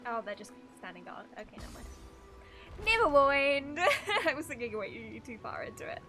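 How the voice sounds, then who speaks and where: A young woman giving casual, giggly live reactions as she streams a game, rambling in an animated way. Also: high-pitched, moderate pace. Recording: loud game music behind.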